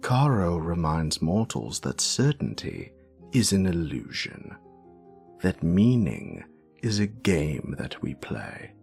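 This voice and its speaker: A man playing a grumpy, sassy wizard professor with a gravelly British voice, delivering a scripted lore monologue in a wry, theatrical way with dramatic pauses. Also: low-pitched, exaggerated voice. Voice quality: gravelly, creaky.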